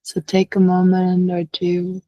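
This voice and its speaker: A woman leading a guided meditation as a teacher, speaking gently and unhurriedly with long pauses. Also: on the low side, completely flat delivery, no echo, dull audio.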